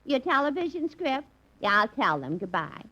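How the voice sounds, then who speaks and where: A woman's voice: high-pitched, sing-song, moderate pace, pushed and bright, exaggerated voice. A woman playing chatty wisecracking telephone operators in a 1950s radio comedy, with a brassy manner. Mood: gossipy. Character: two wisecracking female switchboard operators. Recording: lo-fi old radio broadcast with studio audience.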